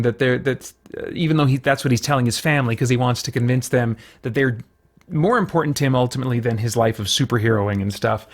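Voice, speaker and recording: A man with a mid-pitched voice delivers casual review commentary as a show host. He speaks off the cuff, often feeling for the right word partway through a thought. The delivery is conversational and opinion-led.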